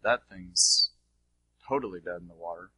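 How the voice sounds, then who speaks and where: A man with a husky voice answering questions as a teacher, in a colloquial, emphatic style. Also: sits low, normal speed, sharp tone, no room sound, poor audio. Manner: colloquial, emphatic, checks for understanding.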